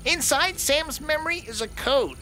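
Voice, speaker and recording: A man's voice: very high-pitched, animated, moderate pace, pushed and bright, faint music behind, exaggerated voice. A man voicing a loving middle-aged inventor father, tender and earnest in a recorded message.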